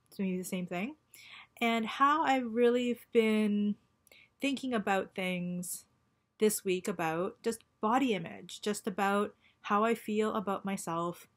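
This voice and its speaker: A woman in her forties, born in Japan and raised in Canada, talking in a personal video diary. She speaks in a halting, reflective way, full of ums and restarts, while going through chemotherapy. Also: medium pitch. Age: 40s. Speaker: vlogger.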